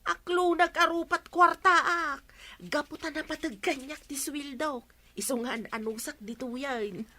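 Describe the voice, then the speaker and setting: A woman's voice: high-pitched, measured pace, pushed and bright. A woman acting a plaintive, complaining housemaid who defers to her master in a comedy radio drama. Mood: aggrieved, grumbling.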